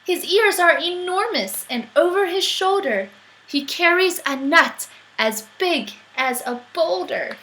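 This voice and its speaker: A woman's voice: very high-pitched, animated, slow pace, pushed and bright, pitched above the performer's own voice, exaggerated voice, rough recording. A woman voicing a brave, curious young female monster child in a storybook, exclaiming and wondering.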